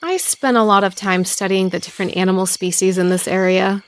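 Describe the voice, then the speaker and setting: A small-town sheriff played by a woman, level-headed and knowledgeable. Her medium-pitched voice is matter-of-fact and thoughtful, in the natural style of a scripted audio drama. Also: steady tone, brisk, close to an everyday voice. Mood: puzzled, analytical.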